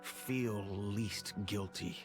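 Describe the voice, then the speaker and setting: A wealthy, middle-aged gentleman in a video game. His voice sits fairly low, and he speaks formally and thoughtfully, like a regretful father, with music clearly playing behind him. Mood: regretful, grave. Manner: measured, formal, reflective storytelling. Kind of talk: scripted video game dialogue.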